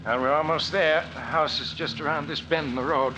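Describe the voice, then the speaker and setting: An actor playing a folksy, plain-spoken driver in an old radio drama. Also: male voice, a little high, fairly even intonation, fairly quick, muffled recording, natural voice. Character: plain-spoken male driver, everyday working man. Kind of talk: 1940s radio acting. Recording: hissy old radio recording.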